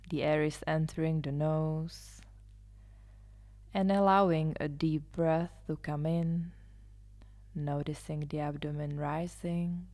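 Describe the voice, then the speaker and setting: A woman leading a guided meditation as a yoga nidra guide, soothing and hushed, often trailing into a near-whisper. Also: low-pitched. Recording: live instrumental music behind the voice. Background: soft live instrumental music.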